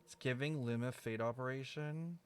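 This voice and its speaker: A man's voice: mid-range voice, normal speed, clean tone. A man giving casual live game stream commentary, musing aloud in informal, slangy speech.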